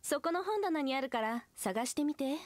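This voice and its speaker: An actress playing a gently teasing bookshop keeper, a townswoman in an anime video game. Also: high-pitched, animated, normal speed, slight background noise. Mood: bemused. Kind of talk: Japanese game acting. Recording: faint game music behind.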